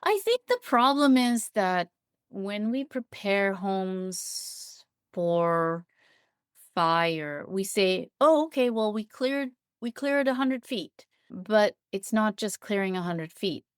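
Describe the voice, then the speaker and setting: A woman's voice: mid-range voice, expressive, slow pace, clean tone, no room sound, studio quality. A woman giving thoughtful, explanatory answers as an expert guest in an interview. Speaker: interview guest, biologist and writer.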